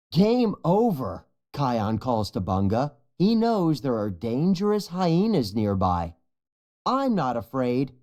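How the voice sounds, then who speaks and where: A storyteller reading a scripted children's storybook in an expressive, measured way. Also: mid-range voice. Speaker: storybook narrator.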